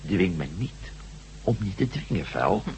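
A man's voice: low-pitched, big pitch swings, unhurried, a little mellow, slight background noise, heavily acted, poor audio. A man playing a weary, wry, commanding ex-astronaut adventurer in a radio drama, brusque and sardonic. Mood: wry, teasing.

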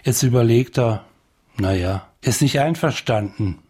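A man's voice: mid-range voice, a little slow, darkish tone, slightly rough. A man giving informal commentary, retelling a story in a colloquial, wry manner. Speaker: commentator retelling and interpreting the Gospel.

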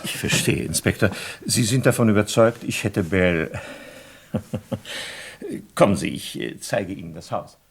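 An actor playing a composed, dignified doctor under suspicion in a radio play, formal and measured, with a hesitant, evasive way of talking. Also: male voice, lowish voice, vocal fry, slightly rough, heavily acted. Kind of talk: German radio play dialogue.

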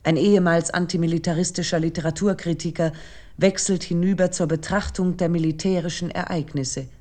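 A woman reading scripted essayistic commentary in a literary radio production, measured, articulate and serious. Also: lowish voice, steady tone, warm tone.

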